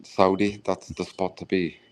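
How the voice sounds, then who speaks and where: A man's voice: deep voice, flat delivery, normal speed. A British man chatting casually on a live stream, easygoing, often trailing off and restarting his sentences. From Britain. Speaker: stream guest.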